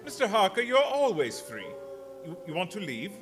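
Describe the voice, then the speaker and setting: Theatrical, high-pitched Count Dracula. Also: male voice, wildly swooping pitch, over music. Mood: mock-polite menace. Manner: slow, formal, theatrical delivery. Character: Count Dracula, sinister courtly male vampire, menacingly hospitable. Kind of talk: radio play acting.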